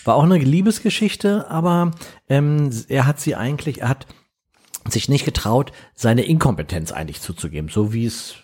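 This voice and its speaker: Animated husky male podcast co-host. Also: medium pitch, moderate pace. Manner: lively, crude storytelling with restarts. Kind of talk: casual chat.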